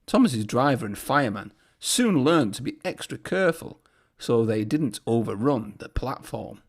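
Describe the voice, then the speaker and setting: A man's voice: medium pitch, sing-song, normal speed. A man narrating a children's storybook aloud as a storyteller.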